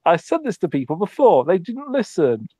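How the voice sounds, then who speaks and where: A man's voice: high voice, sing-song, moderate pace, mellow, slightly rough, dry recording. A man speaking in character during a tabletop roleplay, hesitant, with many ums and restarts.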